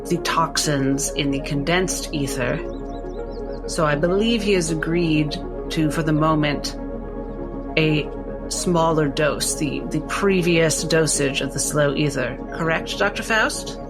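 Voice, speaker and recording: A man playing a gentle asylum doctor, hesitant and deferential, anxious to appease, in a tabletop horror game. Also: a little high.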